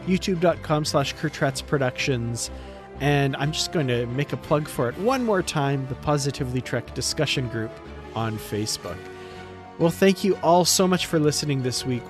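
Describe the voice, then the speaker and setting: A man co-hosting a podcast, chatting casually as he gives a review. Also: a little high. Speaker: podcast co-host.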